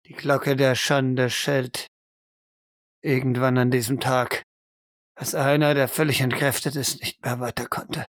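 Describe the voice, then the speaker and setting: A man's voice: mid-range voice, level tone, a little slow, dry recording, studio quality. A man narrating an audio role-play as game master, dramatically and intensely, in short repeated phrases. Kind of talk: dramatic second-person narration.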